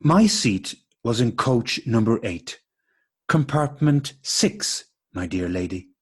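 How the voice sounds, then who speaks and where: A man playing a courteous, puzzled gentleman with formal, mock-astonished delivery in an absurdist radio play. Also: mid-range voice, sing-song, takes it slowly, husky, heavily acted. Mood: polite puzzlement. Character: courteous, puzzled English gentleman meeting a lady. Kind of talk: absurdist stage dialogue read as radio play.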